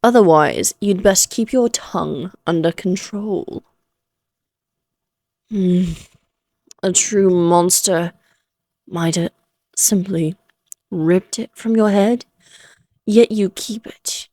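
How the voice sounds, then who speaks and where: An elegant Victorian vampire lady, acted by a woman in a fantasy audio roleplay. She speaks very slowly in a lowish voice, with poised, archly formal phrasing. Her manner is taunting and condescending, amused by her prey, and the sound is close and dry. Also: darkish tone, no room sound. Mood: condescending, teasing. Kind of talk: scripted roleplay audio drama monologue.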